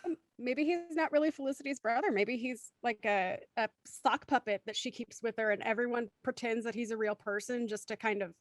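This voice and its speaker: A woman with a high-pitched voice leads a casual group chat as host. The sound is close and dry, and the delivery is playful and conversational. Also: a little fast, dry recording. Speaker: host of a cast discussion panel. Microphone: close mic on a video call.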